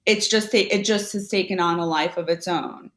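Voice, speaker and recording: Chatty woman in an echoey room. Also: lowish voice. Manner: chatty, quick asides and self-corrections, lively laughs. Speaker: podcast guest, Amazon seller. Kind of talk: casual conversational interview.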